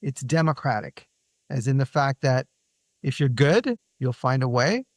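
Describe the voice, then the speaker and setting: A man's voice: mid-range voice, lively intonation, unhurried, no room sound, studio quality. A man hosting an interview show, chatting casually and conversationally with his guest. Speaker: podcast host.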